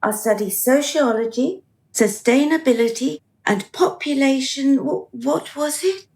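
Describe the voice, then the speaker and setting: A woman's voice: sits high, unhurried, darkish tone. A woman playing a dutiful, unhappy dead woman in a radio play, plaintive, earnest and eager to please. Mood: eager, grateful.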